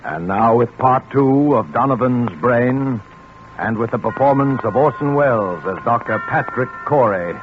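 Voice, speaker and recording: An actor playing a mysterious, solemn host who formally introduces thrillers on 1940s radio, in an old broadcast recording. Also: male voice, medium pitch, lively intonation, measured pace, a little hiss or hum, close to an everyday voice. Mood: solemn, suspenseful. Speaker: host of a suspense anthology. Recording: tinny, band-limited 1940s radio recording.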